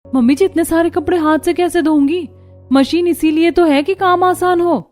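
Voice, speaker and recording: A woman's voice: very high-pitched, steady tone, normal speed, darkish tone, faint music behind, voice pushed up. A woman playing an overworked, exasperated young daughter-in-law in a cartoon story, complaining indignantly. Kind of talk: Hindi cartoon story dialogue.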